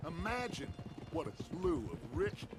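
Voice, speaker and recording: An actor playing a charismatic, persuasive outlaw gang leader in a game cutscene. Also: male voice, fairly high pitch, very animated, a little slow. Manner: persuasive, grandiose. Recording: clear outdoor noise, horses.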